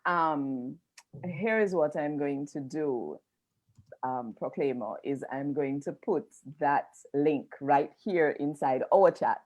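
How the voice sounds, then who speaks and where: A woman hosting a live video-call interview, laughing freely and talking at an unhurried pace. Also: low-pitched, a little mellow, poor audio. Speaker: interviewer and host.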